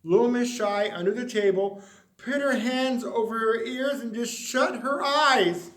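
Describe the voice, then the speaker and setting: A man with a high voice narrates a children's storybook in an echoey room. He reads expressively, stretching out key words for dramatic emphasis. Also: measured pace, fairly bright tone. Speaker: story reader.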